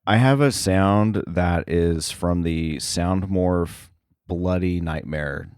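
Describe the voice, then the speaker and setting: A man's voice: sits low, slow, darkish tone, clear, resonant tone, no room sound, studio quality. A man chatting casually as an interview guest on sound editing work, hesitant and often thinking aloud. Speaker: podcast guest, sound effects editor.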